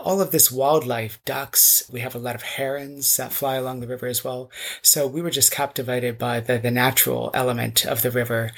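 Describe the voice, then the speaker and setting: A man's voice: mid-range voice, vocal fry, fairly bright tone. A middle-aged man from North Carolina, answering interview questions with enthusiasm and frequent fillers. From North Carolina, United States. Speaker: guest, mudlarker.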